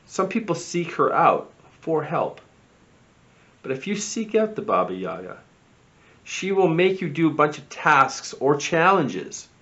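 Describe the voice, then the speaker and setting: A man presents eerie lore as a show host. He speaks slowly and theatrically, leaning on words and letting phrase endings drop. The audio is a little dull. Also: a little high, sing-song, a bit bright. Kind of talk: presenting spooky lore segments.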